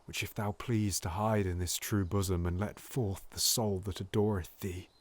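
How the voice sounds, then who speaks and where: A man acts out a scheming nobleman with a silver tongue in a Shakespearean audio play. His delivery is grandly theatrical, moving from hushed, pleading persuasion to loud exultation. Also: low voice, steady tone, moderate pace, lightly acted. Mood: ardent pleading. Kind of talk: Shakespearean audio drama acting.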